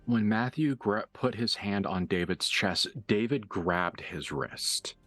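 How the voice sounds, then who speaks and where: A man's voice: lowish voice, slow, a bit bright, no echo, faint music behind. A man acting as game master, improvising narration and table talk in a live roleplaying game.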